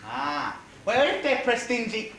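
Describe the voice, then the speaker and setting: A man acts a dim, eager panto henchman. He talks slowly and with big swoops, slow-witted and comic. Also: extremely high pitch, huge pitch swings, a bit bright, exaggerated voice. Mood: eager, confused. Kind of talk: comic pantomime stage acting. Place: theatre or hall stage, live performance. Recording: distant echoey stage, faint noise.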